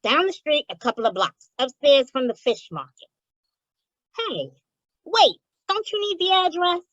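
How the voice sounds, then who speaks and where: Woman acting a streetwise gangland informant, slangy, wisecracking, wary, high-pitched, swinging intonation, radio crime comedy. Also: big pitch swings, unhurried, a bit bright, no echo, dull audio, full character voice. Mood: businesslike, wry. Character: streetwise, wary underworld informant named Piggy.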